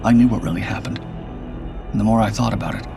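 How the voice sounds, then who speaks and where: A man narrating a scripted first-person suspense story in a measured, grave storytelling manner. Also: on the low side, creaky. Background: background music.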